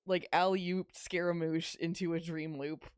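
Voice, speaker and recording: A woman's voice: fairly low pitch, level tone, unhurried, darkish tone, clean tone, no echo, studio quality. A woman co-hosting a podcast, giving casual, chatty commentary on a video game. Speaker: podcast co-host.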